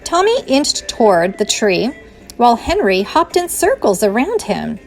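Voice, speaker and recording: Animated, high-voiced woman reading a storybook. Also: measured pace, faint music behind. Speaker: teacher reading aloud. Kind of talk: children's storybook read-aloud.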